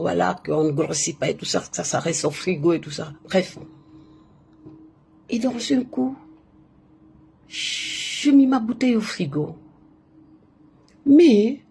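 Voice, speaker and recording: Warm-voiced woman telling a story live. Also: fairly high pitch, slight background noise. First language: not French. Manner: emphatic, keeps repeating a filler between phrases. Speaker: live stream host. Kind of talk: casual live monologue, personal storytelling.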